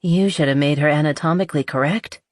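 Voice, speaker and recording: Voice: medium pitch, fast talker, dry recording, clean studio sound, lightly acted. A narrator voicing a talkative, exasperated young woman with a haughty but playful streak in an audiobook. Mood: blunt, teasing. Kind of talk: audiobook character dialogue.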